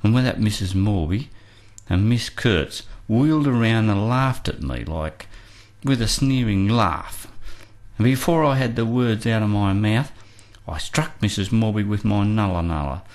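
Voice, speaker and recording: A man's voice: fairly low pitch, animated, measured pace, darkish tone. A male actor plays an aggrieved man on trial in a radio play. He recounts his story in plain, colloquial words, like someone unschooled setting out his own side. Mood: aggrieved, agitated.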